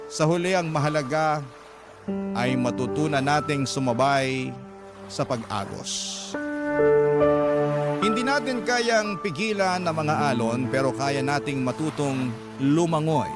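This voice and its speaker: A man hosting and narrating sentimental stories in earnest, dramatic scripted style. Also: on the high side. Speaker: radio drama host and narrator. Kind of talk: dramatic scripted storytelling in Tagalog, reading letters. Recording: loud music bed under voice.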